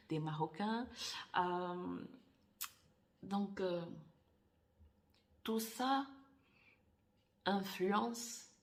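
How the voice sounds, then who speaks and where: A woman with a low, gravelly voice talks in a relaxed vlog. Her first language is Portuguese, and she speaks in a thoughtful, halting way, pausing often and restarting her sentences. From Angola. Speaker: author. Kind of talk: casual personal vlog.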